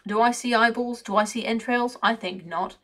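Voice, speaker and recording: A woman voicing a cynical, chatty male raven who grumbles in rough, colloquial speech. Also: a little high, steady tone, normal speed, a bit bright, lightly acted. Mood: grumbling.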